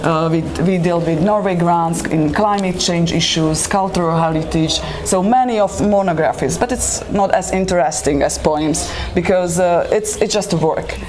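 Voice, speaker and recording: A woman from Slovakia whose first language is Hungarian, a guest poet answering interview questions with many pauses and restarts. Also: on the low side. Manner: hesitant, many fillers and restarts. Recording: noisy room ambience.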